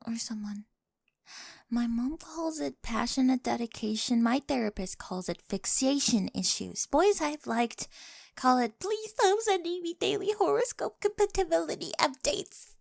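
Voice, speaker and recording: Woman playing a creaky-voiced, smitten, quirky teenage girl. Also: sits high, animated, moderate pace, no room sound, clean studio sound, full character voice. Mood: quirky, confessional.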